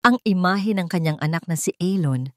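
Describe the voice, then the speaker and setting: A woman narrating a scripted romance drama with deliberate, dramatic phrasing. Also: lowish voice, expressive, a little mellow, no echo, clean studio sound. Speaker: story narrator.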